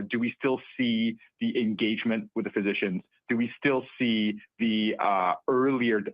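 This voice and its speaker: A man with a fairly low voice speaks as a company executive on an earnings call. The audio is close and dry but somewhat dull and lo-fi. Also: dry recording. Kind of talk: earnings call remarks and answers.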